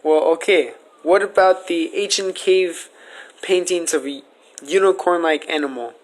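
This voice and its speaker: A man's voice: on the high side, steady tone, unhurried, poor audio. A man asking questions in a casual, conversational interview style. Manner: informal, conversational.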